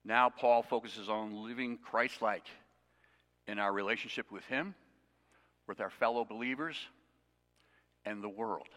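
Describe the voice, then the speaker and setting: A man's voice: fairly low pitch, a little slow, long pauses, a bit bright. A man preaching an expository sermon to his congregation, conversational with self-deprecating asides. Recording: long reverb of a hall.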